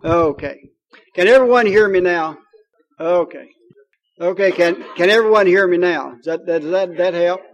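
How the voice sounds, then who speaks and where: Kentucky preacher guy, pretty high voice, jokey and folksy, lots of uhs. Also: normal speed, low-quality recording. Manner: folksy, joking, with many fillers. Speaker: pastor. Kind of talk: sermon.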